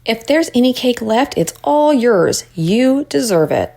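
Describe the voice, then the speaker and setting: Lady reading as a kind schoolgirl in a storybook, gentle, reassuring, voice lifted a bit. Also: fairly high pitch, very animated, a little slow, gravelly, voice pushed up, heavily acted. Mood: caring. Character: caring schoolgirl comforting her little friend.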